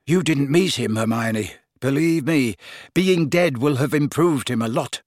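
A man's voice: medium pitch, a little slow, darkish tone, no echo, studio quality, voice pushed up, lightly acted. A man voicing a blunt, grumbling teenage boy with an indignant, incredulous manner. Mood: firm, sardonic.

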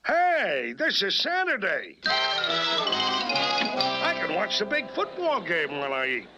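An actor voicing a loud, blustering caveman husband and football fan in an animated sitcom. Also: high voice, takes it slowly, full character voice. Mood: gleeful. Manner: blustering, exclaiming, grumbling to himself. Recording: loud music behind voice.

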